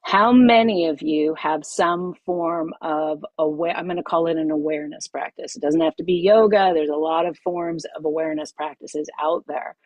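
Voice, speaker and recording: A middle-aged woman, a yoga teacher, leading a group masterclass over a video call, emphatic and expressive, repeating her key phrases. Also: low-pitched, normal speed, hardly any pauses, no room sound, dull audio.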